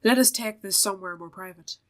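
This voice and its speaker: A woman's voice: mid-range voice, brisk, natural voice. A woman voicing a flamboyant male otherworldly circus showman in a game, theatrical, languid and condescending. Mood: scornful, aloof.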